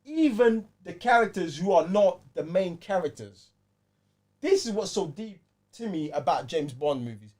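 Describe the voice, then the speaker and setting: A man with a London accent and a high, swooping voice hosts a casual film chat. He talks in slang and circles back on his phrases to drive points home. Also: expressive, moderate pace, darkish tone. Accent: London British. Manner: slangy, repeats phrases for emphasis. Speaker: podcast host. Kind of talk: casual panel chat about films.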